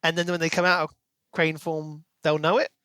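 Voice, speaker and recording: A man's voice: fairly high pitch, little pitch movement, moderate pace, pushed and bright, clean tone, no room sound, clean studio sound. A British man co-hosting a podcast, casually discussing a book in an analytical, questioning way. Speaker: podcast co-host.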